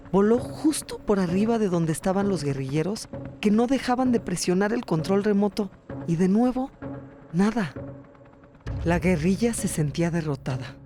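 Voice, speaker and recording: A podcast narrator reading a scripted documentary story. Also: mid-range voice.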